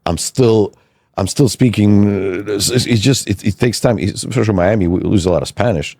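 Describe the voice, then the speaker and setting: Low, dark-voiced man. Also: brisk, vocal fry, breathy edge, no echo. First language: Serbian. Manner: rambling, many restarts. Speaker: podcast host. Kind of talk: casual interview chat.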